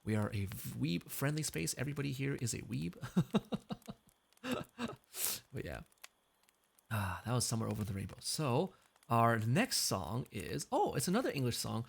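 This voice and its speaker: Chatty gravelly male streamer. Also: medium pitch, big pitch swings, moderate pace, long pauses. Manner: joking, reads chat aloud, frequent laughs. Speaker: VTuber streamer. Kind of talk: casual chat between karaoke songs.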